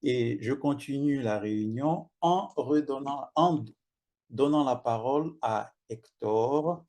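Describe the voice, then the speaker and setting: A man chairing an online speaking club meeting, polite and measured. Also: medium pitch, darkish tone.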